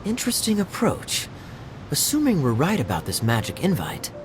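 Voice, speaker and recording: A man playing a suave, teasing, quick-witted young adventurer in a video game cutscene, wry and deliberate. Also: on the high side, wildly swooping pitch. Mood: pondering. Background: background music.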